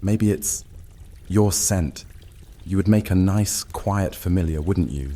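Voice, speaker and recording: Man as a deep-voiced, courtly vampire hunter. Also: slow pace, warm tone. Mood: silky, predatory. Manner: theatrical, courtly, predatory. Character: suave, menacing male vampire hunter, loyal to his mistress. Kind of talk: audio drama acting.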